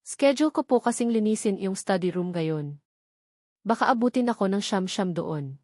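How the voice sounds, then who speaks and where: Young maid in an audio drama, soft and polite, quiet, as a woman narrator puts her on. Dry, close sound. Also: mid-range voice, expressive, normal speed, no room sound, natural voice.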